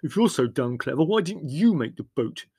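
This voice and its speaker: A man's voice: a little high, big pitch swings, normal speed, mellow, slightly rough, pitched above the performer's own voice, full character voice. A man voicing an irritable, sarcastic and patronising grown brother, peevish and unctuous, in a story reading. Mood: snide.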